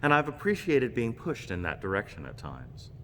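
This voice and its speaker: A man giving a reflective homily with personal stories, speaking in measured, deliberate phrases. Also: mid-range voice, huge pitch swings, a bit bright. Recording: long reverb, background noise.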